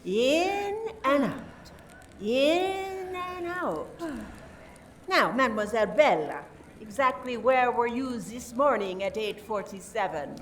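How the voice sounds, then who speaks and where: Slow, deliberate female inspector played by an actress, miked distantly. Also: on the high side, expressive. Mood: soothing, firm. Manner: deliberate, theatrical, repeats words for emphasis. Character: methodical, suspicious French police inspector, politely condescending. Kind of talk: amateur radio play acting. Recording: distant mic, faint noise, room ambience.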